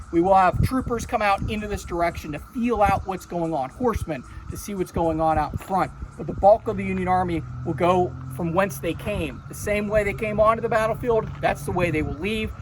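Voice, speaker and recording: A high-voiced man gives an unscripted history tour outdoors, stopping now and then to correct himself. Road noise and passing traffic can be heard clearly behind him. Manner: unscripted, self-correcting explanation. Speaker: battlefield historian guide. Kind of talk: outdoor battlefield tour talk. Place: outdoors by a road, beside an old inn.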